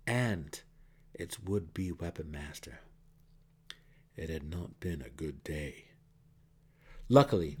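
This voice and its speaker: A man's voice: lowish voice, a little mellow, slightly rough. A man reading a fantasy novel as a narrator in a theatrical, emphatic way. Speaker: audiobook narrator.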